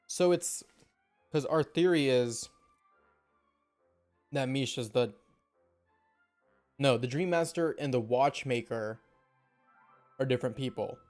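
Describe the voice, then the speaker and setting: Casual male streamer, medium pitch, chatty and playful reaction commentary over faint game music. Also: slow pace, pauses often, a little mellow.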